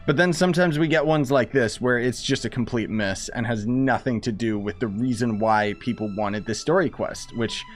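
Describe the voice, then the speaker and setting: A man streaming a game with casual, rambling commentary. His voice sits at an average pitch and he talks in a slangy, opinionated way. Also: moderate pace, few pauses, faint music behind. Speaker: gaming streamer.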